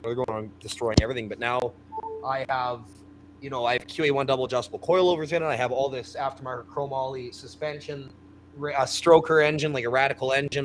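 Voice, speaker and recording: A man in his thirties, chatting casually and enthusiastically about building fast cars. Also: medium pitch, a bit bright, a little hiss or hum, rough recording. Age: 30s. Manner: rambling, enthusiastic car talk. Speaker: guest. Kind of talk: casual chat.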